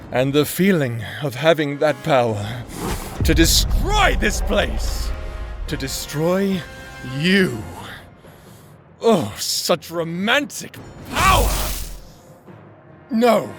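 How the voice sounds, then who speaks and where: A vengeful necromancer for an audio drama, theatrical n taunting, slow delivery, pitch all over the place. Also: male voice, fairly bright tone, heavily acted. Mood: gloating. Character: vengeful male necromancer, manic, gleefully sadistic escaped prisoner. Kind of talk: dramatic audio roleplay acting.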